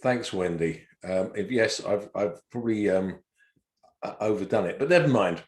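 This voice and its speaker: A man's voice: on the low side, animated, normal speed. An older man giving an informal academic talk in a hesitant way, often stammering and repeating words. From not from Wales. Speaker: professor giving a lecture. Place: indoor room on a video call. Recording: roomy, echoing webinar audio.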